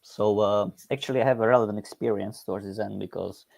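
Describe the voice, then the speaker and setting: A man speaks in a low, dry voice over a video call. He reasons in abstract, academic terms, stopping often on "uh" and adding "right?" to his points. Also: fairly even intonation, quick pace, vocal fry, a little mellow, no room sound. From Eastern Slovakia. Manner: hesitant, many "uh" fillers and "right?" tags. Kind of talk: thoughtful academic talk.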